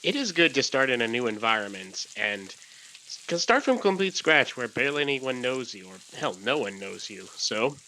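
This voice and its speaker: A man's voice: mid-range voice, sing-song, normal speed, sharp tone, slight background noise, poor audio. A man from Los Angeles narrating his own documentary in a candid, casual way, with loose asides. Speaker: documentary director and interviewer. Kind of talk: reflective voice-over narration.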